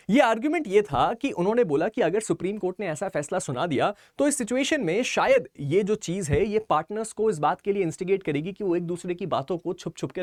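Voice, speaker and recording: A man's voice: high voice, no echo, studio quality. A man teaching as a law coach in an explanatory online lecture, emphatic and dropping English terms into his speech. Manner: emphatic, mixes English legal terms into Hindi.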